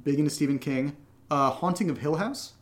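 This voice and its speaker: A man's voice: a little high, fairly quick. A man co-hosting a podcast, chatting casually through listener questions with jokey, teasing banter. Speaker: podcast co-host and actor. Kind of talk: casual Q&A chat. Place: indoors in a home.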